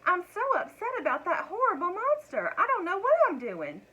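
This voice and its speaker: A woman's voice: high-pitched, lively intonation, a little slow, a bit bright, pitched above the performer's own voice, rough recording. A woman voicing a nervous, fretful farmer's wife who talks anxiously and apologetically. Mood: upset.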